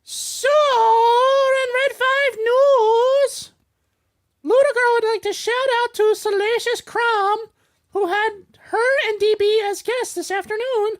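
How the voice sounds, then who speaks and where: Very high-pitched joking New Yorker. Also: female voice, fairly even intonation, takes it slowly. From New York. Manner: joking, rambling, stumbles over read-out promos. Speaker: podcast co-host reading network news. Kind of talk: casual podcast chat. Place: indoor studio with teleprompter.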